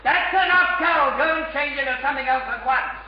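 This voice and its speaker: A man's voice: very high-pitched, brisk. An actor playing a stern, irritable husband and father in a 1960s British radio sitcom. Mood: angry ordering. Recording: echoey, dull old radio recording.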